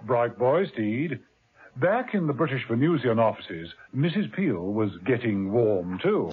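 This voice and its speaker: A man narrating a 1970s radio drama in a brisk storytelling manner, on tinny old radio tape. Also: mid-range voice, big pitch swings, husky.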